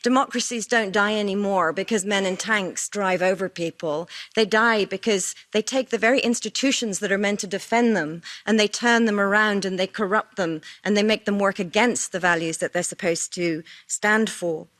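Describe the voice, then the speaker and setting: A woman's voice: medium pitch, moderate pace, hardly any pauses, fairly bright tone. A woman speaking as a civil society panelist at a formal hearing, making an earnest, emphatic appeal.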